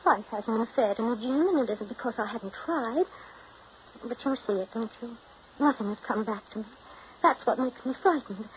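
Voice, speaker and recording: A woman's voice: a little high, lightly acted. Needy, restless actress as a woman, muffled old radio. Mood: frantic self-pity. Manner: pleading, emotional, halting and dramatic. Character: restless, needy, self-pitying woman afraid of getting old. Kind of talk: 1930s American radio drama acting. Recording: muffled, noisy 1930s radio broadcast recording.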